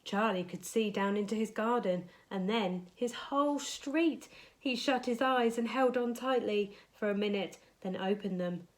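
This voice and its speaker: A woman's voice: medium pitch, unhurried, poor audio. A woman reading a children's bedtime story aloud, expressively dramatising it for young listeners.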